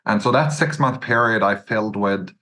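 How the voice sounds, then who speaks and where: A Norwegian man in his thirties with a Norwegian accent, a podcast guest telling his career story in a measured way, with many "uh"s and "um"s. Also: on the low side, sharp tone. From Norway. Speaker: podcast guest, search fund entrepreneur. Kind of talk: relaxed interview answers.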